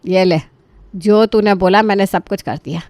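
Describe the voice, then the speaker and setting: A woman voicing an exasperated older mother who complains loudly and emphatically in a dramatic story. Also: medium pitch, moderate pace, no room sound, natural voice. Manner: loud, emphatic, grumbling.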